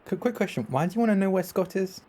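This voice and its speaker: Mellow man playing a tabletop game. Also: high-pitched, lively intonation, fast talker. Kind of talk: casual in-character game chat.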